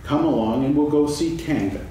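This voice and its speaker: A gentle, earnest young bear, as a man reads him, somewhat high, with a lively, sing-song pitch. It is heard from a distance in a very echoey hall with faint noise. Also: normal speed, natural voice. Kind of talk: staged reading of a children's play. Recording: echoey stage, distant mic, background noise.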